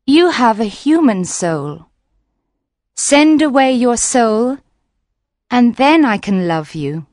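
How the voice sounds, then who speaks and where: A woman voicing a young mermaid princess in a storybook reading, with a soft, pleading way of speaking. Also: on the high side, big pitch swings, slow pace, no room sound, clean studio sound, pitched above the performer's own voice. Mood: gentle. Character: young sea princess mermaid, gentle and frightened.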